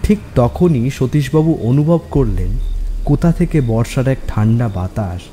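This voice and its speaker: A man narrating a scripted horror story in a measured, suspenseful way. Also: medium pitch, animated, dark tone, slight background noise. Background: music and rain.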